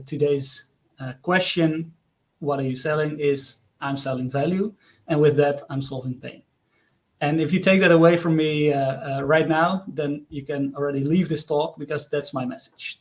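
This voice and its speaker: A man from the Netherlands speaking English with a Dutch accent, giving an earnest online conference presentation with frequent hesitations and questions to the audience. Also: on the high side.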